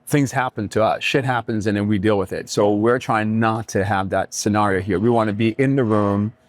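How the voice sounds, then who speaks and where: A middle-aged man from Jamaica talking reflectively as an interview guest, pausing often mid-thought. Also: fairly low pitch, fairly even intonation, no echo. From Kingston, Jamaica. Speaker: restaurateur and community leader, podcast guest.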